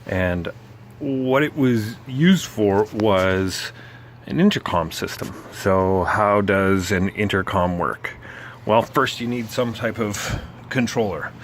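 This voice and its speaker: Guy w kinda low voice walking thru tech stuff off the cuff, stop n start, some bg noise. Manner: halting, thinking aloud as he explains. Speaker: presenter explaining vintage broadcast gear. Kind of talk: casual unscripted technical walkthrough.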